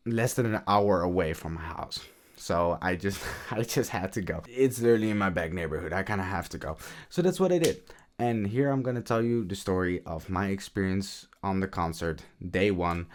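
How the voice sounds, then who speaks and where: Low, raspy young man talking quietly. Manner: talks quietly, slangy and rambling. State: tired after a concert. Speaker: vlogger. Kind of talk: casual late-night vlog commentary.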